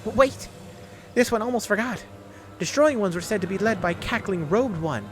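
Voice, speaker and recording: Guy doing earnest little beast creature from a fantasy game, high voice, talks in broken third person, music playing. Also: lively intonation. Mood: urgent. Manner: halting third-person speech. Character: simple, earnest beast-folk creature speaking broken English.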